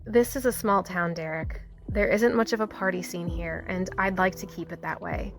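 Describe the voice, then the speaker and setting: A woman playing a calm, pragmatic small-town police officer in an audio drama, speaking gently and reassuringly. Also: on the low side, normal speed, close to an everyday voice. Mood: frank, pragmatic. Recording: music under the voice.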